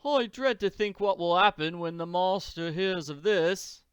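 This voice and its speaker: Man putting on a reluctant, high-pitched household servant. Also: a little slow, a bit bright, clean tone, dry recording, studio quality. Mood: uneasy. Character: deferential, reluctant household servants in a comic strip.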